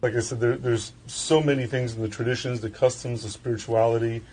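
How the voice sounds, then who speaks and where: A man in an interview clip, explaining in a relaxed way. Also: medium pitch, fairly quick, slight background noise, poor audio.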